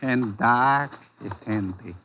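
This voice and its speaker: An actor playing a courteous, menacing gang boss in an old radio drama, speaking formally and with measured politeness. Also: male voice, medium pitch, slight background noise, close to an everyday voice. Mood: polite menace. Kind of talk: 1940s radio acting. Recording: dull, lo-fi 1940s radio recording.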